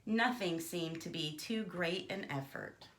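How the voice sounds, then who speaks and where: A woman's voice: lowish voice, unhurried, a bit bright, echoey room. A woman reading a children's storybook aloud expressively and theatrically, as if to young listeners. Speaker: storybook reader.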